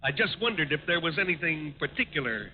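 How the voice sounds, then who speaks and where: An actor playing a smooth, evasive war-plant owner in a 1940s radio drama, polite but guarded and hesitant. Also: male voice, a little high, very animated, fast talker. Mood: guarded politeness. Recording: tinny, noisy 1940s radio recording.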